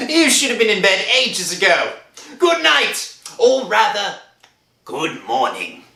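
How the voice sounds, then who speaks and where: A woman's voice: medium pitch, wildly swooping pitch, slow pace, gravelly, long pauses, pushed and bright, full character voice. A woman playing a casual, skeptical police officer in an old-time radio drama, offhand and wry. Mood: amused. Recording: echoey room, faint music behind.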